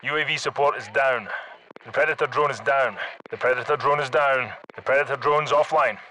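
An actor playing a tough, commanding Scottish special forces soldier who gives clipped military callouts in a shooter video game. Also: male voice, mid-range voice, brisk, sharp tone, a little hiss or hum, close to an everyday voice, rough recording. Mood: businesslike, terse. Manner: clipped, terse radio-style military callouts. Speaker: video game squad leader.

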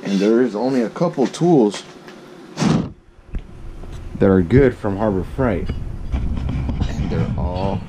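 A man's voice: fairly low pitch, expressive, takes it slowly, pauses often. A man giving a casual hands-on tool review in an offhand way, muttering short fragments. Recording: loud ambient machine noise.

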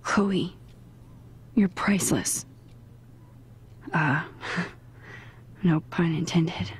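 A shy, thoughtful teenage girl from a video game, soft and very breathy. She sits a little low in her voice and often drifts into a hushed, self-critical murmur. The delivery stays gentle and unhurried. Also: exaggerated voice. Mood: affectionate.

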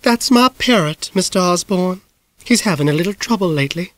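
Man playing a genteel older Southern piano teacher, prim, pitched up a bit. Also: animated, fairly quick, a bit bright, dry recording.

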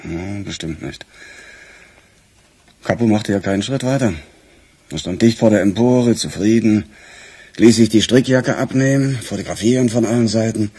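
A weary police detective in a radio crime drama. The voice is low and heavily husky. He talks in a wry, conversational way. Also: darkish tone. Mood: matter-of-fact.

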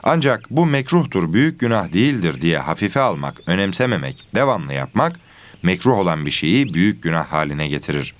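A man playing a patient religion teacher giving a scripted, deliberate lesson in an educational cartoon. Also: deep voice, sing-song, no room sound. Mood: instructive. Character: patient male religion teacher of animal pupils.

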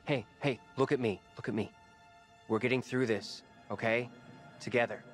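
A man's voice: medium pitch, fairly even intonation, moderate pace, soft background music, lightly acted. An actor playing a protective, reassuring young modern man in danger in a video game. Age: young adult. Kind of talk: naturalistic game cutscene acting.

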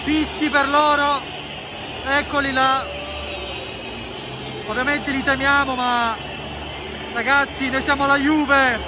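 A man in his twenties chatting excitedly as a football fan vlogger, slangy and repeating phrases for emphasis. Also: extremely high pitch, takes it slowly, long pauses. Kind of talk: excited vlog chat and live reaction. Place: sponsor segment in a room at home, then a busy football stadium. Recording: music and crowd noise behind.

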